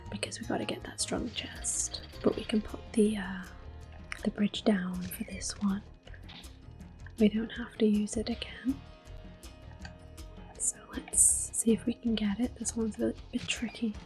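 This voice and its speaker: A woman narrates her video game in a soft, breathy whisper with a mellow tone. She is gentle and unhurried, in an ASMR style, with game music playing behind her. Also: medium pitch, level tone. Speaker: gameplay streamer. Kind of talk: ASMR gameplay commentary.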